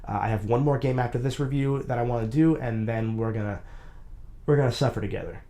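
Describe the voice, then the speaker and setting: A man's voice: medium pitch, fast talker, warm tone. Slightly nasal young man reviewing games. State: recovering from a sinus infection. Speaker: video game reviewer. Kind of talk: scripted retrospective review narration.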